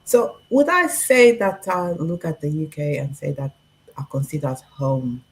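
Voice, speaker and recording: A woman in her forties, from Portugal, telling her own story in a relaxed podcast chat with frequent pauses and fillers. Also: deep voice, poor audio. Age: 40s. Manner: reflective, laughs easily, many fillers. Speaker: guest. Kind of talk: casual podcast conversation.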